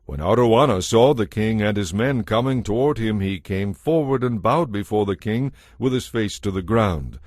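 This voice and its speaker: Lower-pitched male scripture narrator. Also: lively intonation, moderate pace, few pauses, darkish tone, no echo, studio quality.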